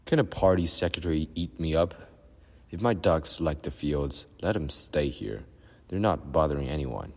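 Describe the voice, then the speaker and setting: A man's voice: rumbling low, animated, normal speed, a little mellow, big-room sound, full character voice. A man voicing a defiant old male peasant who talks in a blunt, coarse way. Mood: flat defiance. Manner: blunt, coarse, toneless at times.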